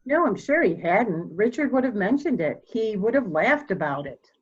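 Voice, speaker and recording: A woman playing a proud, formal, candid elderly mother in a murder mystery reading, speaking deliberately. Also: mid-range voice, sing-song, slightly rough, lo-fi sound. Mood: cool, musing. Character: proud, cold old mother, candid and slightly deaf.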